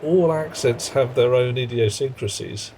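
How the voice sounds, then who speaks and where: A man's voice: mid-range voice, unhurried, darkish tone, a little hiss or hum. A man streaming a game live, chatting wryly with viewers in a dry, muttering way. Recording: game stream with background music.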